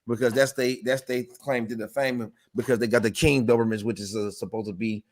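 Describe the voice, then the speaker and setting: A man's voice: mid-range voice, fairly even intonation, brisk. A man who co-hosts a casual interview, recounting things in a rambling, hesitant way. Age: 40s.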